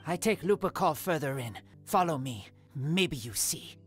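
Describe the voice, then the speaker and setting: A woman's voice: low-pitched, lively intonation, measured pace, faint music behind. A woman voicing an earnest, guarded wolf-raised teenage boy in a video game, speaking in broken, clipped phrases. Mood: grave, earnest.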